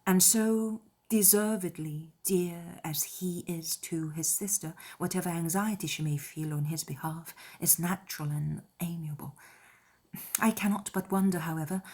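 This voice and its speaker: A woman voicing a gentle, wounded young gentlewoman in a period audiobook drama, speaking in an earnest, measured, formal way. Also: medium pitch, breathy edge. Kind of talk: dramatized period novel letter reading.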